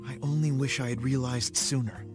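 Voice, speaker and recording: An actor playing an earnest, dutiful young knight with formal, measured speech in a fantasy video game. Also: male voice, mid-range voice, steady tone, over music, close to an everyday voice. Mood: solemn. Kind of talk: English game dub acting.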